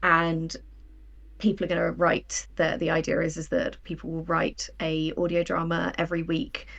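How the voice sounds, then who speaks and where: A woman's voice: low-pitched, completely flat delivery, normal speed, creaky, fairly bright tone. A woman, talking as an interview guest in a conversational, enthusiastic, explanatory way. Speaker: guest, audio drama writer and producer.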